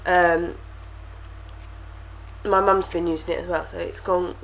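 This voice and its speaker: A woman's voice: on the low side, level tone, measured pace, gravelly, fairly bright tone, slight background noise, low-quality recording. A young woman reviewing beauty products to camera, talking casually and sounding stuffy from a cold. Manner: chatty, with lots of fillers and repeated words. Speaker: beauty vlogger.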